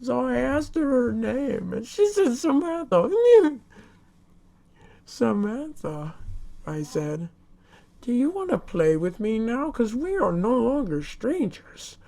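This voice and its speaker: Killer clown for horror drama: high, dark-toned, mock-innocent, giggly and cruel. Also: female voice, sing-song, slow, creaky, heavily acted. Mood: giggly, taunting. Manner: childlike mock-innocent menace, frequent giggling. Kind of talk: horror monologue in a fictional broadcast hijack.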